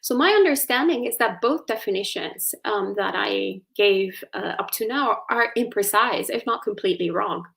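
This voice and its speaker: A woman speaks English with an Italian accent, explaining a teaching as a Buddhist nun. Her voice has a gravelly fry at a middle pitch, and she picks her way through ideas with many ums and restarts. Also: normal speed, few pauses, fairly bright tone. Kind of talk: explanatory dharma talk.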